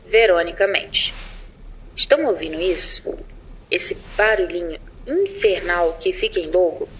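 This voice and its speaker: A woman's voice: mid-range voice, a bit bright, slight background noise, lightly acted, poor audio. A Brazilian woman playing a sarcastic, irritable chief space patroller, recording an informal mission log for an audio drama. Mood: official. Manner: informal, sardonic, foul-mouthed.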